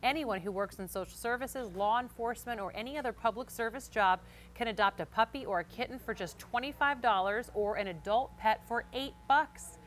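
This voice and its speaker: A woman's voice: on the high side, fairly quick. A woman reading a local TV newscast as an anchor, adding chatty, encouraging remarks to the scripted copy. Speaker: TV news anchor.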